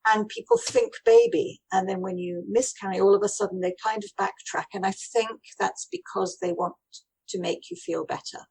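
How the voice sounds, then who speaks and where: A woman's voice: medium pitch, animated, normal speed, gravelly, a little mellow, slightly rough, poor audio. A woman talking conversationally as an interviewee, feeling her way through phrases and voicing what other people say. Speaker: charity director being interviewed.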